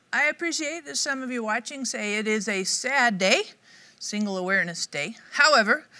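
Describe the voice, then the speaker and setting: A woman's voice: on the high side, pushed and bright. A middle-aged woman giving an upbeat, conversational devotional teaching to camera in a studio. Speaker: Bible teacher. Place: dark studio set, indoors.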